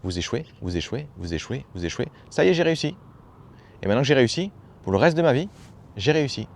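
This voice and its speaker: A man's voice: mid-range voice, sing-song, fast, creaky, slight background noise. A man giving a casual motivational talk as a personal development coach, familiar and slangy, hammering points home by repeating phrases.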